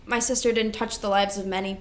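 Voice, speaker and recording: A woman's voice: medium pitch, fairly even intonation, a little fast, lightly acted. Woman acting an earnest grieving big sister, echoey room. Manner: earnest, emotional delivery. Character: devoted, grieving older sister carrying guilt and responsibility. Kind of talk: staged play reading.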